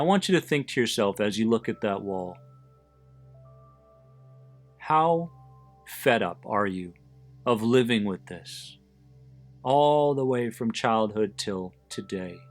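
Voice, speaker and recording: A man leading a guided visualization in a deliberate, soothing manner, pausing between short phrases. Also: medium pitch. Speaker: host of an anxiety support podcast, guiding listeners. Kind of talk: guided visualization, meditation narration. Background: background music.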